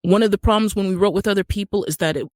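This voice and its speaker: A woman's voice: mid-range voice, racing, darkish tone, dry recording, studio quality. A woman from Utah with an American accent, explaining things step by step in a conversational interview. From Utah, United States. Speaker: podcast guest, screenwriter and actor.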